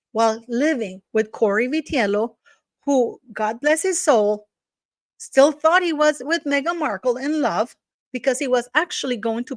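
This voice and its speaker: A woman's voice: fairly high pitch, dry recording. Scornful woman, dry close sound. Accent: non-native English accent. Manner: scornful, gossipy, emphatic, drops curse words. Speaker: YouTube commentator. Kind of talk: casual ranting commentary. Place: at home.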